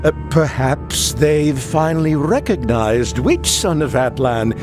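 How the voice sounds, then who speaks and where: A man's voice: a little high, slow, music in the background. A man voicing a haughty, self-important, sneering prince in a video game, pompous and theatrical. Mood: smug. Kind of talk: video game cutscene acting.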